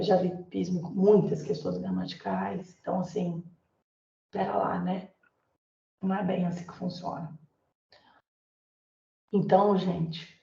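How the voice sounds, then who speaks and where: A Brazilian woman lecturing an online class, her voice hoarse and gravelly from illness. She talks in a loose, chatty way with plenty of fillers and tag questions. The sound is echoey and somewhat dull. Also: on the low side, dark tone. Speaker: Portuguese teacher.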